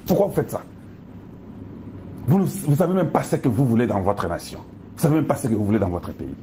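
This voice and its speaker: Emphatic Congolese man with a lively voice. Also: mid-range voice, a little fast, slight background noise. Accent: Congolese French. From Democratic Republic of the Congo. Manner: emphatic, with pointed rhetorical questions. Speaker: political commentator. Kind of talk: impassioned monologue addressing viewers.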